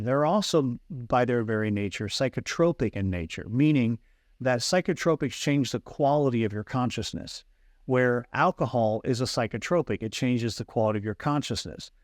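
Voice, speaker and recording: A man's voice: mid-range voice, no room sound, studio quality. A man guest explaining fragrance hypnosis in an earnest, teacherly way, with frequent restarts, over a video call. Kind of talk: explanatory interview talk.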